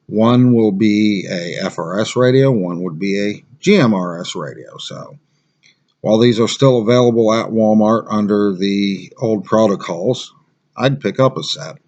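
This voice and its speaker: A man's voice: lowish voice, a little slow, darkish tone, lo-fi sound. An American man giving a casual, unscripted gear review as a survival instructor, plain-spoken and rambling.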